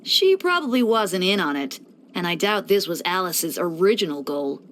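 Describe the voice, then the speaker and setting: Lively, mischievous young male deacon. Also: lowish voice, normal speed, slight background noise. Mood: casual, wry. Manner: breezy, teasing, theatrical, with mock solemnity. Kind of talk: scripted video game character voice lines.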